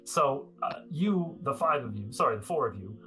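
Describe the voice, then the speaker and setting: A man running a tabletop game as game master, chatty, laughing often and filling pauses with ums. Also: medium pitch, animated, bright, forceful tone, soft background music, low-quality recording.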